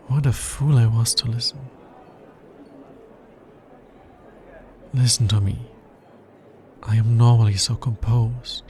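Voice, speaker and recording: A man playing an anxious, logical alien scientist in a soft, intimate ASMR roleplay, speaking in a hesitant, formal way. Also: medium pitch, a little hiss or hum, natural voice. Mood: nervous.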